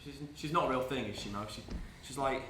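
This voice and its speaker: A man's voice: mid-range voice, fast talker, natural voice. A man playing a nervous, doubting underling in a live stage play, insistent and repeating himself. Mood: nervous denial. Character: nervous, doubting male underling scared by a legend. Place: indoor stage, recorded live. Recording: echoey, distant, noisy live theatre recording.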